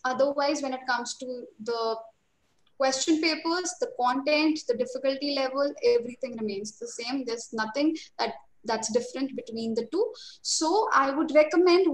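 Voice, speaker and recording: A young woman with an Indian English accent, teaching a webinar as a trainer and explaining steadily and reassuringly over a video call. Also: on the high side. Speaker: IELTS trainer. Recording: roomy video call audio.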